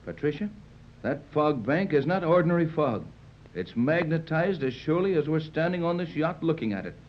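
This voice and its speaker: An actor playing a confident American adventurer-inventor in a 1930s radio serial, measured, authoritative and fatherly. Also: a little high, lively intonation, lightly acted. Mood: intense, assured. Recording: muffled, noisy 1930s radio recording.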